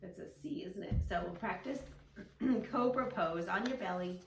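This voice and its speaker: A woman's voice: medium pitch, normal speed, background noise. Woman reading to children, roomy and distant. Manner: encouraging, gentle instructing with frequent praise. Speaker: storyteller and children's yoga teacher. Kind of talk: read-along story with yoga instructions for children. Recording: roomy, echoing, distant microphone.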